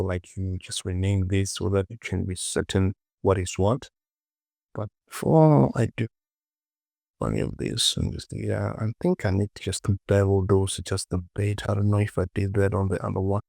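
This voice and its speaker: A man casually presenting a software tutorial, talking to himself as he works and repeating short phrases. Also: fairly low pitch, gravelly, no room sound, studio quality.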